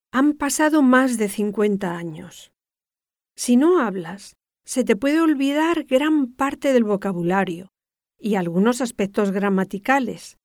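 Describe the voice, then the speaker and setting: Older lady from spain, castilian accent, kinda high voice, reading an educational script carefully, very dry recording. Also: darkish tone, dry recording. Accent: Castilian Spanish. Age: 60s or older. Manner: measured, didactic, carefully articulated.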